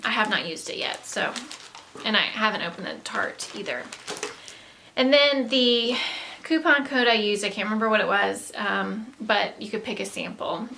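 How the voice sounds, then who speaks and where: Bright, creaky-voiced Southern US woman vlogging. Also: mid-range voice, a little hiss or hum. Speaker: beauty vlogger. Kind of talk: casual chat to camera, product haul review.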